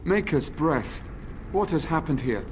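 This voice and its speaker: A man's voice: fairly high pitch, sing-song, a little slow, a little hiss or hum. An earnest knight commander in a video game who speaks formally and courteously. His intonation moves freely, and faint ambience lies under his voice. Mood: shocked.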